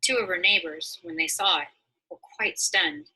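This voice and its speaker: A woman reading a storybook aloud as narrator, in a dramatic, expressive manner. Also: fairly low pitch, normal speed, breathy edge, poor audio. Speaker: story reader.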